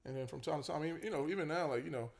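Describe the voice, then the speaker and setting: A man, a basketball coach telling his story as a guest in a casual podcast chat, relaxed and full of fillers and restarts. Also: on the high side, rapid-fire, creaky. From Queens, New York.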